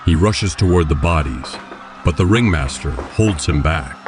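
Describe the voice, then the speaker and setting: A man's voice: extremely low pitch, expressive, a little slow. A man giving scripted narration for an audio drama. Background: music and crowd sounds.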